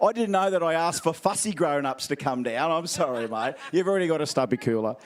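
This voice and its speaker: Playful Australian male event MC, fairly high voice. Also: animated, fairly quick. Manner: playful, teasing, jokey. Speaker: event MC giving out prizes. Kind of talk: live crowd banter. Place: outdoor event ground.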